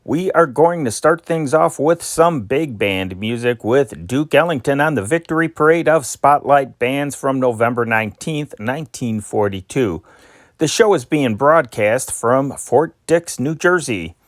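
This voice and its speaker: An older man hosting a vintage radio show, narrating calmly with a nostalgic, unhurried manner. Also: mid-range voice, no echo, clean studio sound. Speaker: podcast host. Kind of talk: reflective scripted narration.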